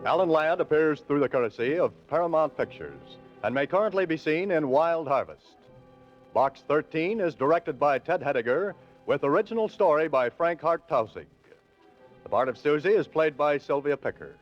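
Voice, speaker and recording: A man's voice: fairly high pitch, lively intonation, moderate pace. A man announcing in a formal 1940s radio style. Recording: muffled 1940s radio recording. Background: loud orchestral music.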